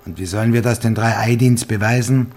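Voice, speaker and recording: A man playing a skeptical crime-drama investigator with a very creaky, gravelly voice of medium pitch. He presses and bargains hard, formal but dismissive. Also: steady tone, brisk, a little mellow, lightly acted. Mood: pressing. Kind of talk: acted dialogue in a crime drama.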